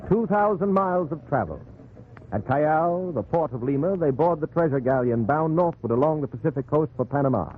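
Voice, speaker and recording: A man's voice: fairly high pitch, animated, a little fast, phone-quality sound, close to an everyday voice. A man narrating a 1940s radio drama in a formal, sweeping storytelling style. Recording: thin, noisy 1940s radio recording.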